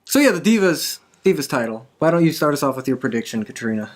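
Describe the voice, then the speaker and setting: A man's voice: medium pitch, very animated, quick pace. A man hosting a wrestling predictions podcast in a casual panel discussion.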